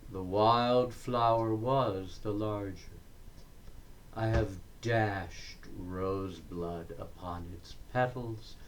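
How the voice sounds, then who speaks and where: A man reciting poetry in a deliberate, declamatory way, breaking the verse into short phrases with frequent pauses. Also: low-pitched, slight background noise, rough recording. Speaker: poetry reader.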